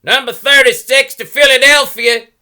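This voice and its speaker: Storybook bus driver acted by a man: loud, high-pitched, forceful, curt with passengers. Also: fairly even intonation, normal speed, full character voice. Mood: bellowing. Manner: bellowing, clipped orders.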